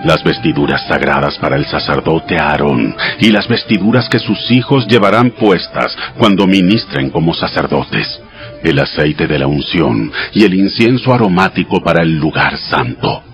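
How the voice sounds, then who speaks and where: The Almighty in an audio Bible drama. The voice is deep and grave, speaking with solemn authority as he gives commands. Soft music runs behind it. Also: animated, normal speed, lo-fi sound. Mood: solemn, instructing.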